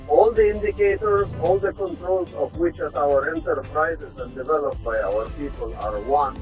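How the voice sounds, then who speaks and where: A middle-aged man, a test pilot from Russia, giving a measured, technical tour of an aircraft cockpit. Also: mid-range voice. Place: aircraft cockpit inside a hangar. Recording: slightly dull sound, faint music behind.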